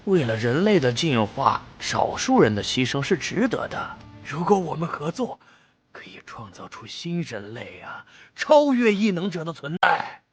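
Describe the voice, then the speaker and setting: An actor playing a fanatical, slippery mad scientist villain in an animated drama, with theatrical delivery. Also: male voice, a little high, measured pace, slightly rough, no echo, exaggerated voice. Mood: smug, gloating. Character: smug fanatical male mad scientist villain, cowardly when threatened. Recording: loud background music.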